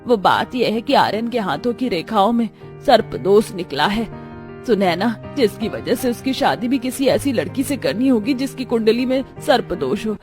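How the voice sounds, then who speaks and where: A woman's voice: fairly high pitch, animated, moderate pace, darkish tone, soft background music, natural voice. A woman playing a worried, protective middle-aged mother in a moral story, earnest and fretful. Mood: anxious.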